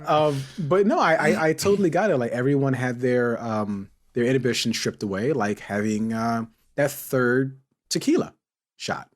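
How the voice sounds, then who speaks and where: A man hosting a casual, joking podcast chat, who talks haltingly, repeats his words and chuckles as he goes. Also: mid-range voice. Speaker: podcast host. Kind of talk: casual comedic panel chat.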